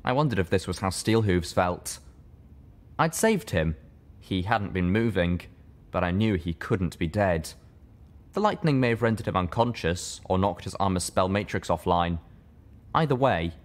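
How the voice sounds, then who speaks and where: A man with a deep, low voice narrates a story in the first person. He reads from a script in the manner of an audiobook. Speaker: fan fiction reader, narrator.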